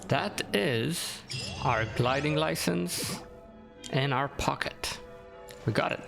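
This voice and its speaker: A man's voice: on the high side, slow pace, vocal fry. A man giving laid-back, casual let's-play commentary with dry teasing asides, over game music. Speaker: gaming video host.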